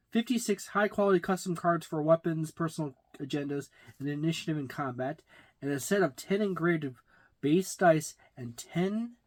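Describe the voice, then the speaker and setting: A middle-aged man giving a casual, halting, matter-of-fact unboxing and review, recorded in a small room. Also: fairly high pitch. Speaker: tabletop game reviewer. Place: small indoor room with packed shelves.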